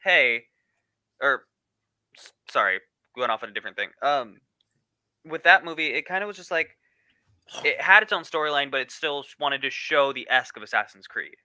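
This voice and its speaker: He has a very bright, crisp male voice recorded quite dry. He explains his point casually as a panelist. The delivery is halting and wanders off before circling back. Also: medium pitch, animated, no room sound. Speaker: podcast panelist.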